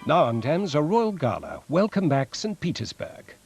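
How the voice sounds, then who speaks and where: A British man makes scripted television continuity announcements. His mid-range voice rises and falls freely, with music clearly playing behind him. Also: fairly high pitch, big pitch swings, moderate pace.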